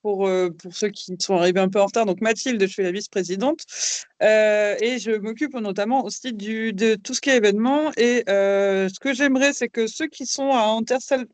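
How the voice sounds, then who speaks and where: A woman's voice: mid-range voice, fairly even intonation, normal speed, a little mellow, no room sound. Woman vice-president speaking on a video call. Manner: hesitant, frequent fillers. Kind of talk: casual spoken update on a video call.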